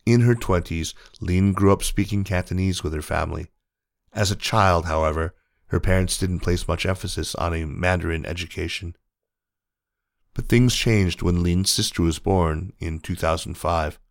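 A man reading a news feature article aloud in a measured, even narration. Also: sits low, vocal fry, dry recording, clean studio sound.

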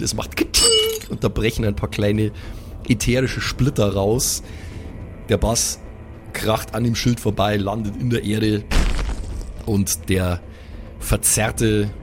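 Low-voiced man as game master. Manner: descriptive, with pauses to improvise. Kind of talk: improvised narration of a tabletop role-playing game.

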